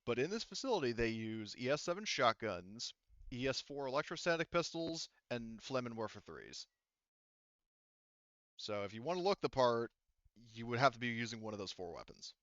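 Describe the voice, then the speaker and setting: A man running a tabletop sci-fi game as game master, explaining haltingly and often circling back to rephrase. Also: mid-range voice, very animated, fairly bright tone, no room sound, muffled recording.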